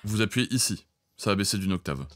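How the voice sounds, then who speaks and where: A man's voice: on the low side, animated, a little fast, soft background music. A man teaching audio mixing in an informal, colloquial way, speaking directly to viewers into a close microphone. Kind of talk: informal spoken tutorial.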